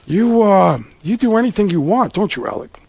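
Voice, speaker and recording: A man doing an impression of a gruff, sardonic middle-aged male film star, drawing out his words menacingly. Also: sits high, lively intonation, a little mellow, dry recording, voice pushed up, heavily acted. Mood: sardonic menace. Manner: slow sardonic drawl with drawn-out emphasis.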